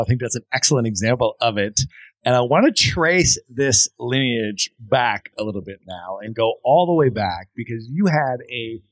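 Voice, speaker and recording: A man's voice: mid-range voice, dry recording. A man hosting a podcast, asking encouraging, open questions of his guest. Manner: warm, encouraging interviewer. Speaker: podcast host. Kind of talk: interview questions.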